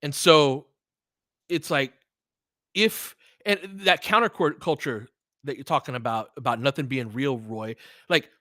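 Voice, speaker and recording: A man's voice: mid-range voice, a little slow, sharp tone, dry recording. Casual, profane man chatting unscripted, dry sound. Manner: earnest, rambling, freely profane. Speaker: podcast host and sports play-by-play streamer. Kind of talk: unscripted casual chat with listeners.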